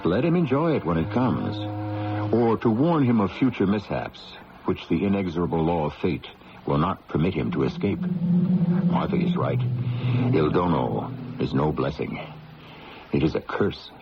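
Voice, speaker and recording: A man's voice: sits low, animated, moderate pace, creaky, background noise. A man hosting and narrating a radio mystery in a portentous, theatrical scripted style. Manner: portentous, dramatic. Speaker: host and narrator. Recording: muffled old radio broadcast.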